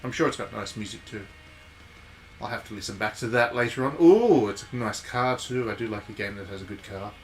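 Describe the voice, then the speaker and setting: A man's voice: on the low side, fairly quick, faint music behind. A man giving casual live commentary as he streams a game, rambling and thinking aloud. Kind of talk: casual live commentary on a visual novel playthrough. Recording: steady background noise.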